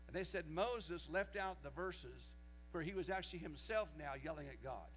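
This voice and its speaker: A man in his sixties preaching a sermon, reading scripture aloud and rising loud when he quotes it. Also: on the high side, lively intonation, normal speed. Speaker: pastor. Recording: dull lo-fi sermon recording with mains hum.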